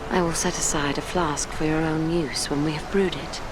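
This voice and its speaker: Low-voiced, solemn elven noblewoman played by an actress. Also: steady tone, noisy background, natural voice. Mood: gracious. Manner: measured, formal, archaic phrasing. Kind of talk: dramatic fantasy video game acting.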